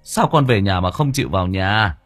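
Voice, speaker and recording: A man's voice: low voice, animated, moderate pace, fairly bright tone, faint music behind. A man voicing a grieving older father who pleads anxiously. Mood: pleading. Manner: anguished, pleading.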